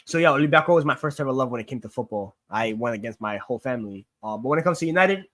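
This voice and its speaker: A man's voice: mid-range voice, lively intonation, very fast, fairly bright tone, dry recording. Young man with a Queens accent. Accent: Queens New York. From born in Greece, raised in New York. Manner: chatty, rambling, many fillers and restarts. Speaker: football streamer answering chat. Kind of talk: casual livestream Q&A.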